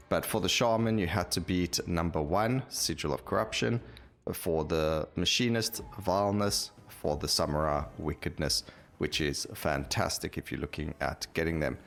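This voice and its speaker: A man's voice: sits low, gravelly, a bit bright. A man giving casual, enthusiastic gameplay commentary as the host of a gaming video, explaining things informally.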